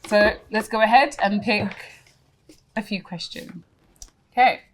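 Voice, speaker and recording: A woman's voice: mid-range voice, fairly even intonation, a little slow, slight background noise. A woman hosting an interview, upbeat and affirming, running playful question rounds. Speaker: host. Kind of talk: game-show style interview hosting.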